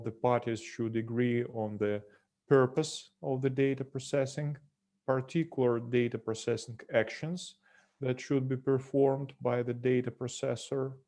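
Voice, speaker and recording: Mellow-voiced Russian man, measured expert. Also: mid-range voice. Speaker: senior lawyer, expert guest. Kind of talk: expert explanation in an online interview.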